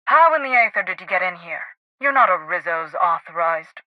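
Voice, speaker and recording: An actress playing a pompous, high-strung head scientist in a video game, speaking formally with precise diction. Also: female voice, lively intonation, normal speed, muffled recording, close to an everyday voice. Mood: indignant, haughty. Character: haughty, high-strung woman scientist heading a research lab. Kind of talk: video game character dialogue.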